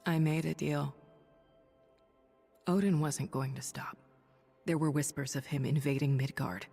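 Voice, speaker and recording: A woman voicing a weary, noble superhero thunder goddess for a video game, confiding in measured, breathy speech. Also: low voice, steady tone, mellow, in a large hall, soft background music, full character voice. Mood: somber. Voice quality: breathy, husky. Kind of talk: video game voice acting, dramatic dialogue and audio-log monologue.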